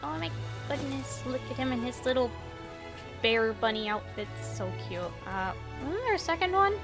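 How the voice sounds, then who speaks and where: A woman with a gravelly voice, chatting casually and a little self-deprecatingly while she plays a video game. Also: sits high, animated, moderate pace. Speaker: gaming streamer. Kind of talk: casual commentary while playing. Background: game music.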